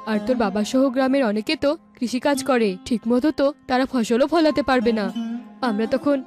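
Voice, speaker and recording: Cartoon village mother, played by a woman: slightly high, sensible, worried, scolding, over music. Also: brisk, a little mellow, natural voice. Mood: admonishing. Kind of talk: animated folk-tale cartoon dubbing. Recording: music behind the voice.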